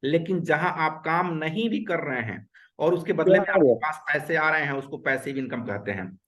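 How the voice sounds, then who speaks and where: Male webinar instructor from Uttar Pradesh on a video call, fairly high voice, earnest and repetitive. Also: animated, normal speed, fairly bright tone. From Uttar Pradesh, India. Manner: earnest and repetitive, mixes English words. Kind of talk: online webinar lecture.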